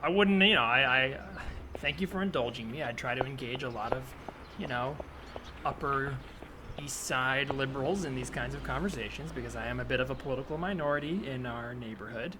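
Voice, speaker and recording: A man's voice: medium pitch, fairly quick, close to an everyday voice. A man playing an earnest, provocative right-wing militia member and self-styled historian, in a hesitant, probing, conversational improvised comedy scene. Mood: earnest, defensive. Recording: clearly noisy, added ambient soundscape. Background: ambient sound effects.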